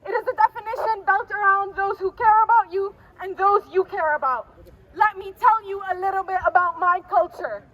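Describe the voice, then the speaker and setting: A woman's voice: very high-pitched, normal speed, lo-fi sound. A woman delivers a spoken-word poem as a public speech, declaiming with passion and repeated phrases. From Palestinian diaspora. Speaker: protest speaker, poet. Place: outdoors at a city street protest. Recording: noisy outdoor street with traffic ambience.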